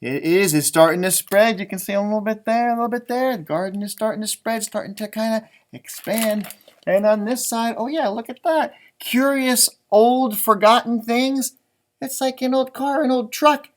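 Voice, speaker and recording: A man's voice: sits high, moderate pace. A man with an American accent reads a children's picture book aloud like an elementary teacher, stretching words for emphasis and adding chatty, simple explanations. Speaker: elementary music teacher reading storybooks aloud.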